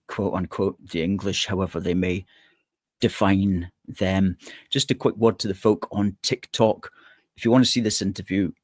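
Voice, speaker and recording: Measured low-voiced man from Scotland. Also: no echo. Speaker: guest. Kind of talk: casual political chat.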